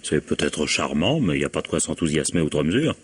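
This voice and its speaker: An actor in a French radio drama playing a weary, cutting husband, husky-voiced, curt and reproachful. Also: male voice, a bass voice, very animated, fairly quick, slight background noise. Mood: weary, sullen. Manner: curt, reproachful, dryly sarcastic. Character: exasperated, cutting husband weary of his jealous wife.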